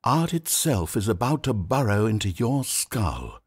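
A man's voice: lowish voice, sing-song, a little slow, breathy edge, exaggerated voice. A man voicing a sarcastic, theatrical narrator in a video game, swinging between dry wit and grand rapture. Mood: coaxing. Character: wry, theatrical male narrator of a game, adult.

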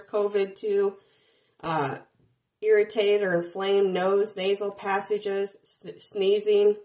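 Woman, mid-pitched voice, firm repetitive prayer litany, commanding and steady. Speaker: deliverance minister. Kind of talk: spoken deliverance prayer.

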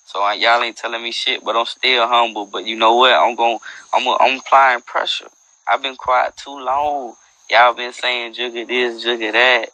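A man's voice: mid-range voice, level tone, normal speed, bright, forceful tone, poor audio. A young man hosting a phone livestream at night, boasting in loose street slang and freestyling. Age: young adult. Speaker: livestream host. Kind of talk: casual livestream banter and freestyle rap. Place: dim room at night. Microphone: earbud headset mic on a phone.

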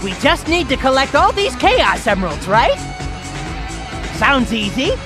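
An arrogant young hawk, boss of a gang of thieves in a video game. The voice is high and wildly animated, impatient and hot-tempered, with music behind it. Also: male voice, a little slow, exaggerated voice. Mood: cocky. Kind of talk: video game cutscene voice acting.

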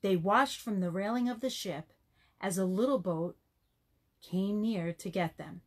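A woman narrating a children's story, reading in measured, short phrases. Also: mid-range voice.

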